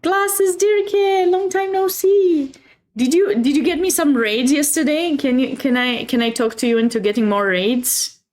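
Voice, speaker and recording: A woman's voice: high voice, steady tone, a little slow, darkish tone. A woman with a Romanian accent hosting a chess livestream, chatting casually and expressively with viewers between bits of commentary.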